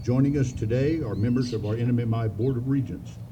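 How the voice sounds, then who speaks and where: Formal man addressing a ceremony, measured, dull far-off outdoor audio. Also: mid-range voice, noisy background. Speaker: school superintendent. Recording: dull, distant outdoor mic.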